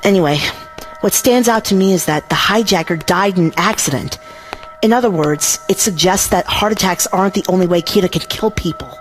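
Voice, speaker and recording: A woman's voice: fairly low pitch, brisk, lightly acted, poor audio. A woman playing a serious, analytical young investigator in an anime dub, reasoning earnestly and carefully. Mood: grave, insistent. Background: background music.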